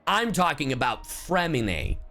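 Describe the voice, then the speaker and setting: A man livestreaming a game with casual commentary, rambling in an animated way and swearing freely. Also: a little high, a little slow, soft background music.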